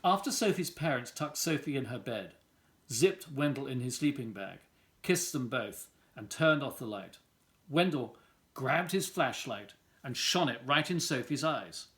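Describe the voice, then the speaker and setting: A man reads a picture book aloud for young children. His mid-pitched voice moves up and down in a lively, animated way as he narrates. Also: unhurried. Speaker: volunteer story reader.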